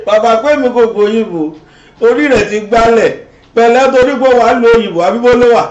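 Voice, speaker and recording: A Nigerian man with a Yoruba accent, delivering an animated, mocking political rant that switches between English and Yoruba. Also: very high voice, quick pace. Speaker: commentator. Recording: roomy, dull lo-fi sound.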